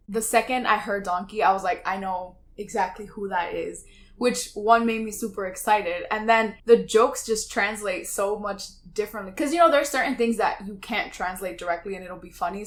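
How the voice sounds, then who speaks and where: A woman's voice: medium pitch, fairly even intonation, normal speed. A woman in her twenties whose first language is Spanish, co-hosting a casual podcast and chatting with lots of fillers. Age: 20s. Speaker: podcast co-host. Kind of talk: casual chat.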